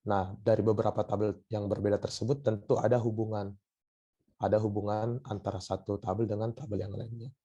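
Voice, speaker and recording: A hoarse man lecturing a university class over an online call, his voice a little low and dark, with a dull lo-fi sound. He is coughing a bit and often stalls on filler sounds as he explains. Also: flat delivery, fairly quick. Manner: hesitant, many filler sounds.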